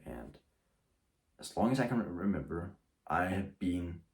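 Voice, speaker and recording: A young man speaks casually to camera in a deep, low voice. He rambles, often breaking off and restarting his sentences as he shares his thoughts. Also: expressive, measured pace, a little hiss or hum. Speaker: YouTuber.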